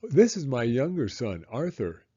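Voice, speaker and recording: A man's voice: mid-range voice, wildly swooping pitch, a little slow, mellow, husky, dull audio, exaggerated voice. A man voicing a proud, polite father in a children's storybook, speaking in an earnest, emphatic way. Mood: stern.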